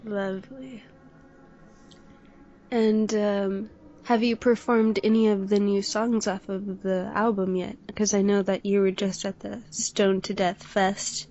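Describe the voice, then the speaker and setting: A woman's voice: mid-range voice, level tone, slow pace, warm tone, muffled recording. A woman hosting a podcast who puts short, friendly questions to a guest. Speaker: podcast host interviewer. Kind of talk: casual interview.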